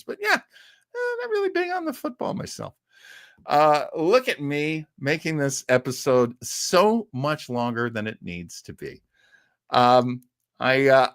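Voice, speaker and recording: Male livestream host, middle-aged; very lively intonation, dry close sound, jovial, rambling, casual chat delivery. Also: mid-range voice, dry recording. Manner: jovial, rambling, chuckling, self-deprecating. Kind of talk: casual livestream chat, reading viewers' comments.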